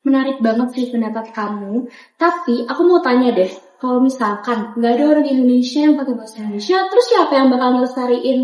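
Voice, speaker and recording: A young woman playing a female student who steers the talk with quick, probing questions. Her voice is high and she speaks fast. The sound is roomy, with music underneath. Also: lively intonation, a little mellow, lo-fi sound. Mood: questioning. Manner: quick, questioning, guiding the discussion. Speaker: student in a class skit.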